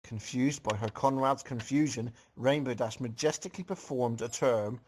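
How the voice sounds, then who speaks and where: A man's voice: medium pitch, moderate pace, a little mellow, a little hiss or hum. A man reading a fan story aloud in narration, with casual comments of his own.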